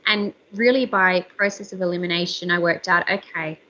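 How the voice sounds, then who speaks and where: A woman in her thirties, an author and journalist, chatting candidly with a slightly squeaky voice from a room at home over a video call. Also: fairly quick, sharp tone, dull audio. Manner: chatty, candid, frequent fillers. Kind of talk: casual interview chat.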